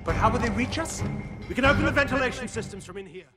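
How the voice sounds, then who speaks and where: A panicky enemy soldier in a game, really high excitable voice, music blasting. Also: male voice, fast. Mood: alarmed. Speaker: video game voice actor. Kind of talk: dramatic game cutscene acting. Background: very loud music.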